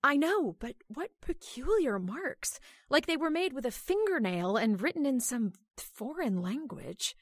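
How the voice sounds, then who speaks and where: A woman acts a jumpy, curious wife in an old radio horror play. Her voice is fairly high and husky, with animated, dramatic rises and falls. The sound is close and dry. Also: measured pace, few pauses, no room sound, heavily acted. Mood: curious, insistent. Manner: urgent, dramatic, exclamatory. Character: jumpy, curious, insistent wife in a haunted house.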